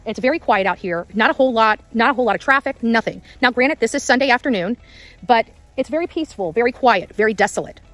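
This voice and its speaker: Higher-voiced woman reporting on location. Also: animated, quick pace, a bit bright, no echo, faint music behind. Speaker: amateur on-site reporter. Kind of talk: unscripted on-location vlog reporting. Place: outdoors in quiet rural area.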